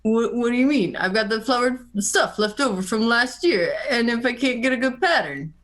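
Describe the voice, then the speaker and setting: A woman plays a sensible farm wife in a radio play. Her voice sits a little high, and she speaks earnestly and plainly, chiding in a matter-of-fact way. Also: expressive, normal speed, a bit bright. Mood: eager. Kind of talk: amateur radio play reading.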